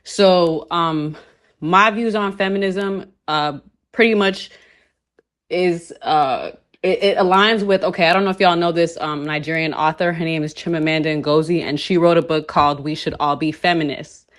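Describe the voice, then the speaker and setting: A woman from Ethiopia joining a live panel discussion and speaking in a measured, earnest way with frequent pauses. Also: sits low, steady tone. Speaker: panel participant. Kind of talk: casual live-stream discussion.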